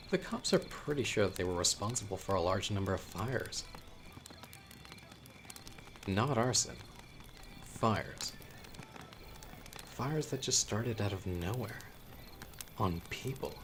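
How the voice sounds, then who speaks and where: A man playing a chatty young storyteller with hidden menace in a horror audio roleplay, talking casually with wry asides and hesitant pauses. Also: lowish voice, a little hiss or hum. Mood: wry, spooky.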